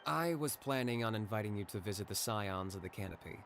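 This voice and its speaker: A man playing a reserved, low-key young adventurer in a video game, understated in manner. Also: expressive, fairly quick, background noise. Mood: casual, reserved.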